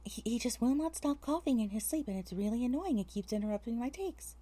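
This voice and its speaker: Mellow higher-pitched woman narrator. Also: animated, quick pace. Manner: self-correcting, muttering exasperated asides between takes. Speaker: narrator recording an audiobook. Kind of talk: scripted narration with blooper asides.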